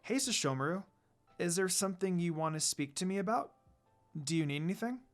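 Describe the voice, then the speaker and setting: A man voicing a kind, gentle young woman in love in a romance story, speaking softly. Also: high voice, lively intonation, a little fast, faint music behind. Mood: gentle, affectionate.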